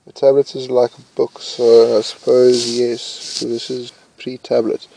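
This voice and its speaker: Slow, gravelly young man with a flat voice. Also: mid-range voice, a little hiss or hum, rough recording. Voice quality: says his voice is loud. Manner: slow, flat, mumbling delivery, thinking aloud with hesitations. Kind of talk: casual commentary while reading test questions aloud.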